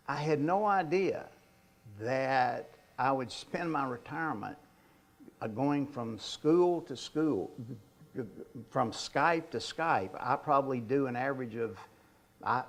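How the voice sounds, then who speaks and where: An older man delivers an informal talk with a stutter. His speech is slow and halting, with repeated words and blocks, and his voice has a gravelly creak at a medium pitch. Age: 60s or older. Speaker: author giving a presentation.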